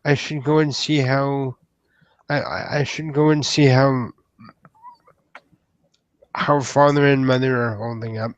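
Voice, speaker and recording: Shy, slow-speaking troll lad, played by a man. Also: mid-range voice, fairly even intonation, no echo, highs cut off, lightly acted. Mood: polite. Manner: halting, hesitant, formal wording. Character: shy, polite, lovelorn young male troll named Sam.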